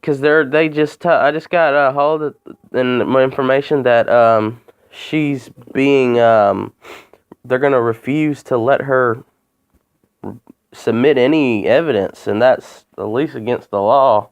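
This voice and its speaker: A man making a complaint by phone, talking in a halting, rambling way and repeating himself. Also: medium pitch, steady tone, smooth. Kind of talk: phone call, informal account. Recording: muffled phone call recording.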